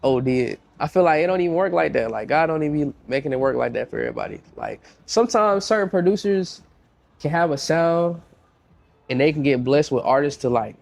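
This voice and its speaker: A young man with a congested, stuffed-up voice, talking as a guest producer in a loose podcast chat. He sits a little high in pitch and leans into slang, circling back and repeating phrases as his stories pick up speed. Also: a little fast, vocal fry. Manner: slangy, repeats himself, lively storytelling. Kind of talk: casual podcast interview.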